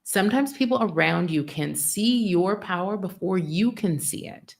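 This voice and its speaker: A middle-aged American woman giving a live spiritual sermon, frank and profane, acting out dialogue in an animated way. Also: fairly low pitch, normal speed, gravelly. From United States. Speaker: spiritual teacher.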